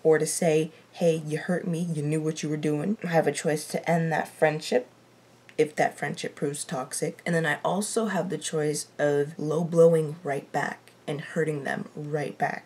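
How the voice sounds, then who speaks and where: A raspy-voiced young woman chatting casually to camera from her bedroom, reacting to a TV show and swearing under her breath at small mishaps. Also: sits low, fairly even intonation, moderate pace, a little mellow. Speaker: TV reaction vlogger. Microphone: laptop mic.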